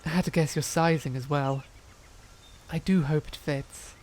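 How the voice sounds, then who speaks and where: A woman's voice: sits low, fairly even intonation, moderate pace, darkish tone, a little hiss or hum, natural voice. Woman playing a doting, fussing loved one. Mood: fussing, affectionate. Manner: tender, coaxing, fussy. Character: doting, fussing, affectionate partner or relative. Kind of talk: fantasy audio drama acting.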